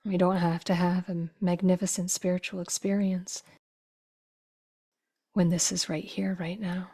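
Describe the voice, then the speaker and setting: A woman guiding a meditation in a soft, breathy voice that often drops toward a whisper, with slow, gentle phrases and long pauses. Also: fairly low pitch, little pitch movement. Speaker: meditation teacher. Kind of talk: guided meditation.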